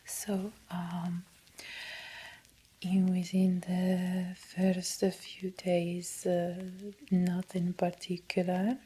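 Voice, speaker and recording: Woman doing an uneasy italian lady doctor, asmr roleplay, hesitant whisper, lowish and mellow. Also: completely flat delivery, full character voice, poor audio. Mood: hesitant, defensive. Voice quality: breathy, whispery. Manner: slow, halting, hesitant. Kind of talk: soft-spoken ASMR roleplay.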